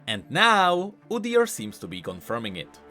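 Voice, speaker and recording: A man giving scripted, explanatory commentary as a video creator covering game lore, in an animated manner. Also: fairly high pitch, moderate pace, soft background music.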